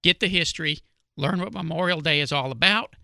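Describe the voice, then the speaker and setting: A middle-aged male host speaks casually and from the heart, in a plainspoken, emphatic way. His voice is strikingly bright and forceful, with a creaky edge. The sound is dry and close. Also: a little high, a little fast, no room sound. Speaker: radio show host. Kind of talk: casual, heartfelt talk to listeners.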